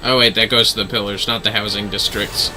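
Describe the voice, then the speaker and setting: A man's voice: fairly low pitch, little pitch movement, a little fast, music in the background, poor audio. A man giving casual, chatty livestream commentary on a game he is playing, gushing and swearing freely. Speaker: game streamer.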